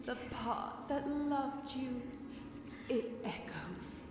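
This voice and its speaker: A woman's voice: high voice, expressive, drawn-out words, heavily acted. A woman voicing a gloating parasitic monster in an audio drama, theatrical and savoring its cruelty. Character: gloating parasitic monster wearing a dead pony's body. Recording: echoing, long reverb over music.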